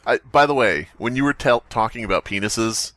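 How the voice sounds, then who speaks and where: A man's voice: medium pitch, brisk, fairly bright tone, no room sound. A man co-hosting a podcast, chatting casually and riffing jokingly as he picks apart stories. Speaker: podcast co-host. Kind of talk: casual comedic chat and story critique.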